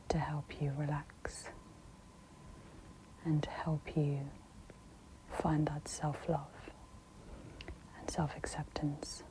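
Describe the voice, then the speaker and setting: A woman whispers softly and breathily as she gently guides an ASMR meditation in a soothing, instructive manner. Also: sits low, background noise.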